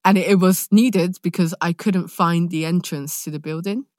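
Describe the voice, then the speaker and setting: A woman with a London accent, an actor being interviewed, telling anecdotes in casual, animated chat and acting out her own thoughts. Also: lowish voice, moderate pace, no room sound, clean studio sound. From London, England, born in Nigeria. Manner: animated storytelling with self-quoted asides.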